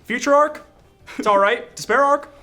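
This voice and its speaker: A man's voice: very high voice, moderate pace, pushed and bright, soft background music. A man doing improvised comic banter in a playful, mock-amazed, repetitive way. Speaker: guest in a comedy bit.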